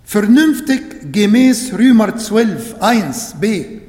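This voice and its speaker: Slow, halting non-native preacher in an echoey hall. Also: male voice, high-pitched, sing-song, a little mellow. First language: not German. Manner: halting non-native German, repeats phrases, slow and emphatic. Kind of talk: sermon. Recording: echoey hall with long reverb.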